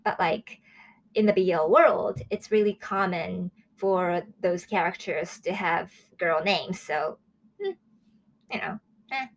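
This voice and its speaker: A woman in her early twenties from Japan, hosting a casual solo review show in a chatty, excitable way. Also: fairly low pitch, slow, poor audio. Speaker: host of a manga review show.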